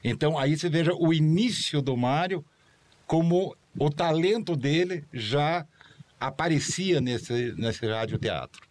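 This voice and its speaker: An older man and former show producer, recounting stories in a rambling way with slow, low asides. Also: animated, darkish tone. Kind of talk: anecdotal storytelling.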